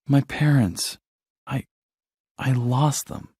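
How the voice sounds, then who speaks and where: A man's voice: mid-range voice, takes it slowly, a little mellow, breathy edge, no echo, studio quality. A man voicing an earnest, forgetful young female blue fish in a storybook, with a hesitant, pleading manner. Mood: sad, worried.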